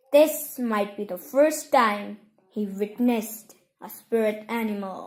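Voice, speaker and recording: A woman reads fiction aloud in a fairly high voice. Her narration is slow and measured, broken into short phrases. The recording is roomy and echoing. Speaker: audiobook reader. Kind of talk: scripted story narration.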